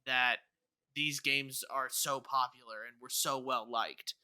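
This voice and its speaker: A man's voice: mid-range voice, steady tone, slow, pushed and bright, clean tone. A man co-hosting a podcast in casual discussion, earnestly rambling as he thinks aloud. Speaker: podcast co-host.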